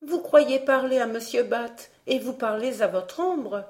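Woman as an earnest, high-voiced young girl. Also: measured pace, darkish tone, close to an everyday voice. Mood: reasoning. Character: curious, sensible young girl, gently reasoning.

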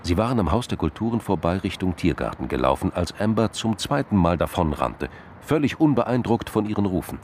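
A male narrator with a low voice reads literary crime fiction for a radio play. His phrasing is measured and dramatic, broken by deliberate pauses, with faint noise behind him. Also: very animated, a little mellow.

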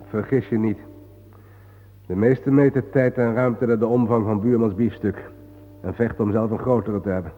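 An actor playing a grave, world-weary man guiding his friend through war cemeteries in a solemn, measured, sardonic radio-play manner. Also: fairly low pitch, fairly even intonation, natural voice. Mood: bitter, weary. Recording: muffled phone-like old radio recording, reverberant.

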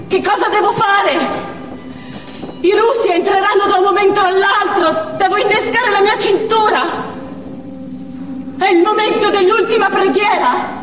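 An actress playing a desperate, defiant female Chechen militant in a declamatory theatrical monologue. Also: extremely high pitch. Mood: tense, desperate. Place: theatre stage, picked up from afar. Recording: distant echoey theatre hall with music.

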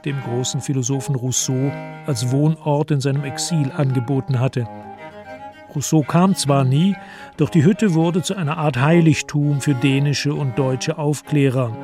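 A man narrating a literary radio drama in a composed, deliberate storytelling manner. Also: fairly high pitch. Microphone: close studio microphone.

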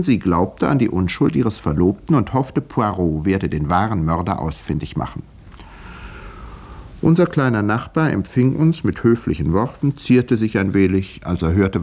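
An observant doctor telling a detective story in a 1950s radio play. His voice is low and moves in lively curves. The telling is measured and literary, with dry irony. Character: doctor narrating a murder case, observant, dryly ironic.

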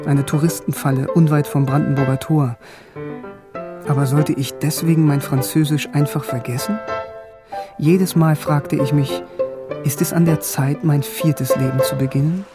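An actor playing a middle-aged East German language lover, a dryly wry former conscript, in a radio drama with first-person narration. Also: male voice, on the high side, steady tone, normal speed, music in the background, natural voice. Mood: wry, reflective.

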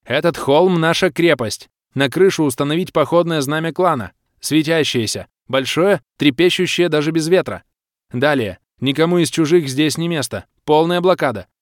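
A man voicing a fierce woman clan leader in a fantasy audiobook, barking crisp battle orders. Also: on the high side, normal speed, no room sound, studio quality, pitched above the performer's own voice, lightly acted. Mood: firm, commanding.

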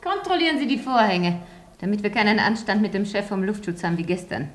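A woman's voice: mid-range voice, lively intonation, fast, roomy sound, lightly acted. An actress playing a bossy, big-hearted French landlady in a radio play, commanding, theatrical and sentimental.

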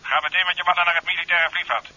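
An actor playing a stern, demanding police commissioner in a radio drama, clipped and authoritative, heard through a telephone. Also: male voice, high voice, steady tone, racing, sharp tone, heavily acted. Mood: commanding. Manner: clipped, authoritative, barking questions and orders. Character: stern, demanding male police commissioner giving urgent orders. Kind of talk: 1970s Dutch radio drama acting. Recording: dull 1970s radio recording, telephone sound.

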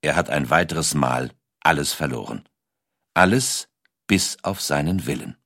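A man narrating a scripted historical documentary in a measured, deliberate storytelling manner with dramatic pauses. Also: very deep voice, lively intonation, fairly bright tone, dry recording, studio quality.

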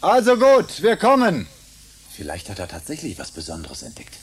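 Nervous engineer, lively swinging voice. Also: male voice, fairly high pitch, normal speed, heavy background noise. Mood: curious. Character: Antonin, nervous, doubting male electrical engineer. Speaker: radio drama actor. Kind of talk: German science fiction radio play acting.

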